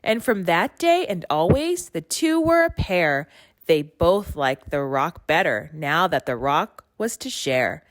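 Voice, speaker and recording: Animated woman reading a storybook aloud. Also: on the low side, slow pace, no room sound. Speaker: storybook reader. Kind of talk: expressive children's read-aloud narration of rhyming verse.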